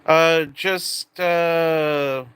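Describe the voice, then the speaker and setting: Young man, late twenties, slow unhurried talk, casual rambling stream chat, jokey. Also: a little high, fairly even intonation, fairly bright tone, smooth. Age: 20s. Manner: rambling, self-interrupting, joking.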